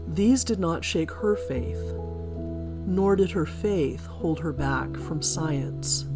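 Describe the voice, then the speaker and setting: A woman presenting a scripted narration in a measured, explanatory manner. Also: low voice. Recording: guitar music behind the voice. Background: plucked guitar music.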